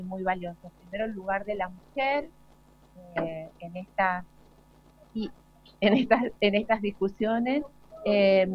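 Reflective Argentine woman, dull lo-fi sound. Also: mid-range voice. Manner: deliberate, hesitant, reflective. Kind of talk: reflective unscripted panel talk. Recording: dull lo-fi live broadcast.

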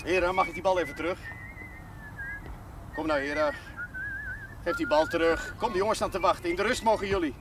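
An actor playing a feisty, good-humoured village priest who bosses and banters, in naturalistic television drama style on a noisy outdoor soundtrack. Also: male voice, sits high, brisk, long pauses, natural voice. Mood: stern, bossy.

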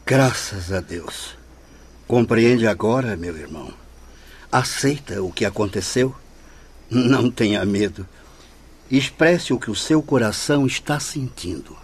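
Husky, solemn spiritual director. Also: male voice, on the low side, animated, heavily acted. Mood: gentle, reassuring. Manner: solemn, preaching, deliberate. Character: male spirit director of a spiritual house, paternal, exhorting. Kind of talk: radio drama acting.

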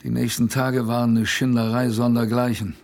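A man's voice: medium pitch, flat delivery, moderate pace, gravelly, darkish tone, close to an everyday voice. An actor playing a young polar expedition sailor, telling his survival story in a colloquial, wry radio play narration. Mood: wry, recounting.

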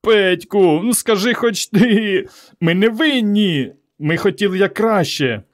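A man's voice: medium pitch, animated, moderate pace, close to an everyday voice. Man playing a teenage village lad with swooping voice. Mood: whimpering. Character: cocky teenage village son who turns whining and pleading.

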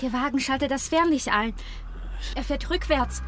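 Uneasy young woman, high voice. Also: normal speed, a bit bright, a little hiss or hum. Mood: alarmed. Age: young adult. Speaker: actress in a radio drama. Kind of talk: naturalistic radio crime drama acting.

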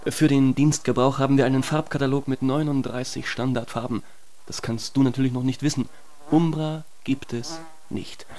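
An actor playing a pedantic secret police surveillance instructor in a radio drama, correcting like a schoolmaster. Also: male voice, medium pitch, fairly even intonation, moderate pace, warm tone, close to an everyday voice. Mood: fussy, correcting.

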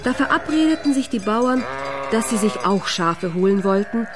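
A woman narrator reading a scripted fairy tale in a measured storytelling manner. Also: on the high side, animated, heavy background noise.

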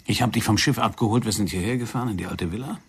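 An actor playing a controlled, commanding secret agent who coaches someone in a measured, insistent way in a radio play. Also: male voice, on the low side, husky. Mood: seductive, controlled.

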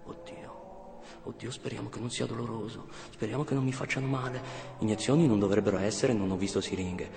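An actor playing a naive, excitable boy and his wry grown self in a reverberant theatrical radio monologue. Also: on the low side, brisk, gravelly. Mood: anxious. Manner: excitable, repeats words, comically bewildered. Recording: echoey hall reverb, faint music.

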